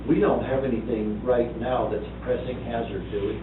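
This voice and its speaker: A man's voice: mid-range voice, fairly even intonation, fairly quick. Man asking questions in an echoey hall. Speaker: board member. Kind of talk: questions at a town board meeting. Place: council meeting room. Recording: echoey, distant, phone-like, noisy meeting recording.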